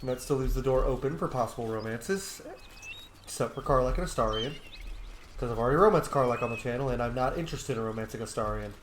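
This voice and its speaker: A man's voice: medium pitch, quick pace, slight background noise. A man hosting a casual video game roleplay commentary, slightly under the weather and a bit sniffly. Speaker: gaming channel host. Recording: roomy echo, faint game music behind. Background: faint game music.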